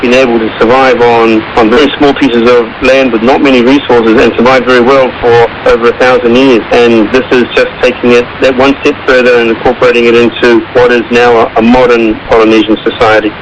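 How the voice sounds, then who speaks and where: A man's voice: mid-range voice, level tone, fairly quick, hardly any pauses. A man talking in an explanatory way, heard over shortwave radio. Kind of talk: explanatory interview talk. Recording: noisy shortwave radio with static.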